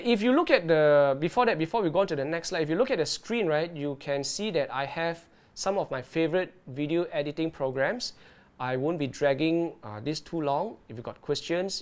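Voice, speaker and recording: A man presenting live to online viewers, his voice sitting a little higher than most men's. He explains things in a lively, chatty way and keeps checking in with his viewers as he goes. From Malaysia. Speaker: underwater video presenter. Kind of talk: live-streamed tutorial and Q&A.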